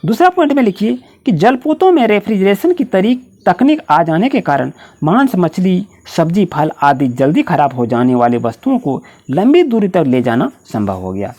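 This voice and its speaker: A woman's voice: fairly low pitch, very animated, moderate pace, few pauses, mellow. A woman with an Indian accent teaching school students, dictating exam answers point by point in an instructional way.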